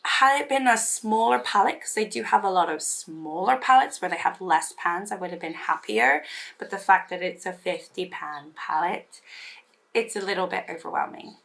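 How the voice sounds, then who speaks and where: Woman, hoarse and gravelly from recent voice loss, casual rambling beauty vlogger. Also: medium pitch, normal speed, a bit bright. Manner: rambling, self-deprecating, many fillers and restarts. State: recovering from lost voice, hoarse. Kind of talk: casual get-ready-with-me chat.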